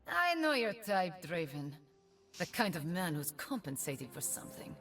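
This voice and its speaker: A cocky young female gunslinger from a video game, swaggering and taunting. Her delivery swings widely in pitch and is full of theatrical menace. Loud music plays behind her. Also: very animated, normal speed. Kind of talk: video game character voice lines.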